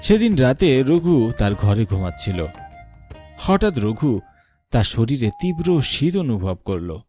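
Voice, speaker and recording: A man's voice: mid-range voice, big pitch swings, slow, darkish tone. A male narrator reads a scripted horror tale in a mid-range voice. Faint music plays under the narration.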